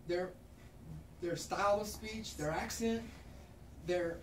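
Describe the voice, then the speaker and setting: A woman's voice: on the low side, slow pace. A woman from Kansas, a pain management doctor, gives an informal talk to clinicians, tossing in "right?" after her points and laughing at herself. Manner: frequent tag questions, laughs at herself. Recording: roomy echo, distant mic, noticeable background noise.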